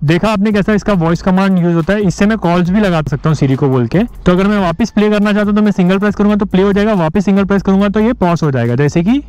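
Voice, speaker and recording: A man's voice: high-pitched, quick pace, hardly any pauses, rough recording. A man in his thirties with an Indian accent giving a vlog tutorial, explaining step by step with English terms mixed in. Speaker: motovlogger. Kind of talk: tutorial vlog to camera and voice-over. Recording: music behind the voice. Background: background music.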